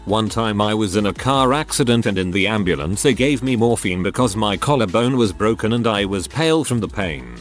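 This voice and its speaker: A man with a lowish voice reads out internet stories in an even, steady narration. Soft music plays faintly under his words. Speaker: narrator reading Reddit posts. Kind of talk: scripted narration. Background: soft background music.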